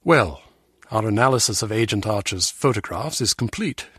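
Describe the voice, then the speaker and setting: An actor voicing a dour, critical intelligence official in a video game, with a clipped, formal manner. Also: male voice, fairly low pitch, lively intonation, moderate pace, a bit bright, slightly rough, dry recording, studio quality. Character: dour, critical male British intelligence bureaucrat. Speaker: intelligence official giving briefings.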